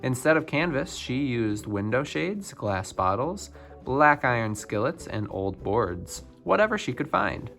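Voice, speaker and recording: A man reading a children's picture book biography aloud, phrase by phrase with expressive emphasis. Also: mid-range voice, a little slow, creaky, soft background music. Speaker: storybook reader.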